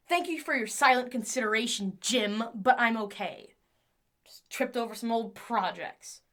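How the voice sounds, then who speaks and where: A woman playing an excitable, scheming inventor in an audio drama, theatrically talking to herself as her moods swing. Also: a little high, a little slow. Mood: chatty, exasperated.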